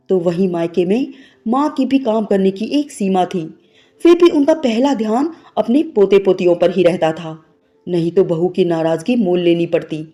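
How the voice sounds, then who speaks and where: A woman's voice: mid-range voice, mellow. A woman narrating a scripted, sentimental moral story as a storyteller. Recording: music bed behind the voice. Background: background music.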